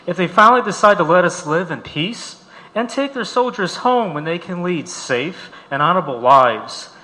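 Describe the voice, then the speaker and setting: Bright, animated man reading in a reverberant hall. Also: a little high. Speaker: reader at a live event. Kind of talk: dramatic reading of a quoted statement. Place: large auditorium.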